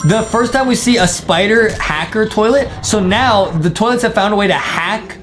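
A man's voice: high-pitched, moderate pace. A young American man giving casual, playful reaction commentary as a YouTube host, slangy and fond of repeating catchphrases. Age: young adult. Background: loud background music.

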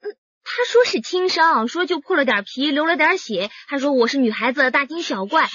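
A woman's voice: sits high, animated, normal speed, fairly bright tone, dry recording, highs cut off. An actress playing a girlish, nervous young policewoman in a radio drama, hesitant and fussy.

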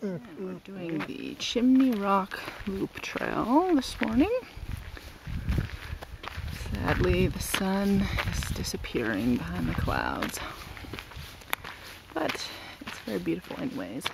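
A man narrates his hike in an unhurried, offhand way, his voice full of gravel and rising and falling a lot. It is recorded outdoors on a camera mic, with audible noise. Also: high voice. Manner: relaxed, offhand commentary on scenery. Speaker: travel vlogger. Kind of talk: casual narration while hiking. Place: outdoors on desert trails and in an orchard. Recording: noisy outdoor camera audio.

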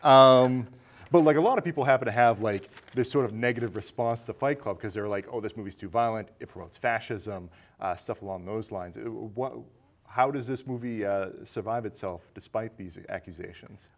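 A man's voice: fairly low pitch, moderate pace. A man in his thirties hosting a casual film discussion in a small studio. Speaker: talk show host. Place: small indoor studio set with curtains.

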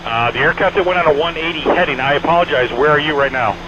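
A man's voice: a little high, quick pace, highs cut off. A man working as an air traffic controller, giving clipped, repeated, courteous instructions over aviation radio. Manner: clipped, repetitive directives with courteous sign-offs. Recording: band-limited aviation radio, aircraft engine noise. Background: small airplane engine drone.

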